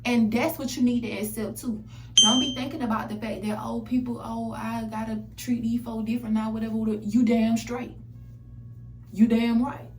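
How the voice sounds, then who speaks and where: A woman with a fairly high voice speaks in a very echoey room. She delivers blunt, profane pep talk in a casual, emphatic way, often repeating a phrase to drive it home. A faint noise sits behind her. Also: flat delivery, moderate pace. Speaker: self-help vlogger. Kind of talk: casual motivational monologue. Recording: echoey, distant mic, background noise.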